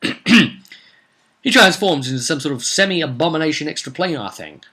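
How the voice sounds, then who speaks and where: A man's voice: fairly high pitch, expressive, unhurried, sharp tone, slightly rough. A man telling the story of a tabletop roleplay session as game master, in a rambling, conversational way with repeated phrases.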